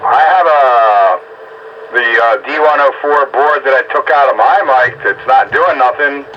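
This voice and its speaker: A man's voice: medium pitch, a little slow. A man rambling casually in plain words over two-way radio. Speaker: amateur radio operator. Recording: muffled radio link with clear static.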